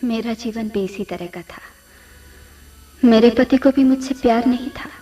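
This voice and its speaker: A woman's voice: on the high side, measured pace, warm tone, far from the mic, dull audio, faint music behind, close to an everyday voice. A woman playing a yearning, vengeful female ghost in a radio play, pleading and dramatic. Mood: sorrowful. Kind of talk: Hindi radio play acting.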